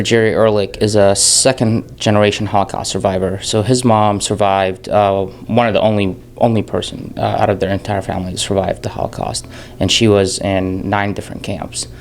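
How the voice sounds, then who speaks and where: A man's voice: on the low side, fairly even intonation, normal speed, slight background noise. A man in his thirties from Jordan, a filmmaker speaking candidly in an interview, often restarting his sentences. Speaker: filmmaker guest. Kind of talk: casual interview talk.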